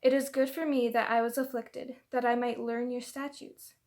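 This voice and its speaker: Higher-pitched woman reciting scripture. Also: flat delivery, normal speed, a little mellow. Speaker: reader.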